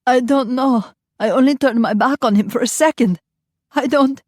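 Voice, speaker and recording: A distraught, guilt-stricken nanny, put on by a woman narrator, gasping and pitched high. Dry, close sound. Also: moderate pace, darkish tone, no echo. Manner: gasping, tearful.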